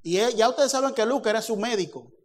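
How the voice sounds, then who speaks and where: Male preacher, high pitch, animated sermon delivery with acted-out dialogue. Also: fast talker. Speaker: pastor.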